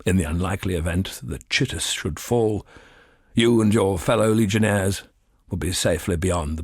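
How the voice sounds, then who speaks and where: An actor playing a proud, cold scholar-mage in a fantasy game, dictating orders in a formal, measured, authoritative husky voice. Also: male voice, low-pitched, no room sound, studio quality. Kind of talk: formal in-game letter reading.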